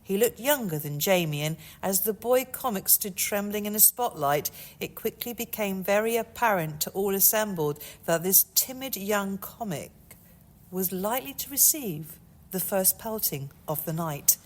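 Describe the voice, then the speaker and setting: A woman author reading a chapter of her own novel in a measured storytelling manner. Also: mid-range voice, animated.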